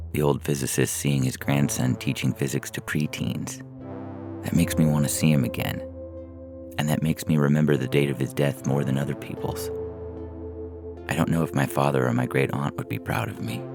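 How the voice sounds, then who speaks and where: A man reading a first-person short story as a narrator, in a measured, deliberate way, from a script. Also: very deep voice, creaky. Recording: music bed under the voice. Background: synthesizer music.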